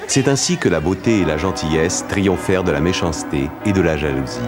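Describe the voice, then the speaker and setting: A man narrating an animated fairy tale as a solemn storyteller. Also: low voice, brisk, over loud music, over a noisy crowd. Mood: triumphant.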